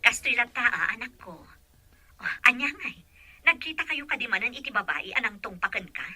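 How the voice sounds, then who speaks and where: A woman playing a gentle, worried mother of a grown son in an audio drama, heard as if on a telephone. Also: fairly high pitch, expressive, a little fast, dry recording, faint music behind, exaggerated voice. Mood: concerned. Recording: husky, sharp telephone-like sound.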